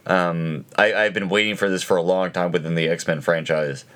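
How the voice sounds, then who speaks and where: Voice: low voice, fairly even intonation, moderate pace, fairly bright tone. A podcast co-host chatting casually about entertainment, a bit hesitant and full of short interjections. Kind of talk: casual banter and chat about movies and sports.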